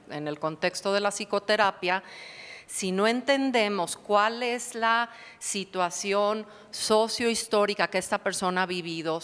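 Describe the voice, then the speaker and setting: A woman psychotherapist speaks at a conference in a reflective, explanatory way and often turns to rhetorical questions. Her voice sits at a middle pitch. It carries a long reverb, as if she were speaking in a large lecture hall. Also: a bit bright. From Mexico. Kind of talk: academic conference talk.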